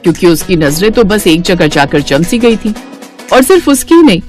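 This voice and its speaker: A woman's voice: on the low side, big pitch swings, moderate pace, darkish tone, faint music behind. A woman storyteller reading scripted narration for a cartoon fairy tale.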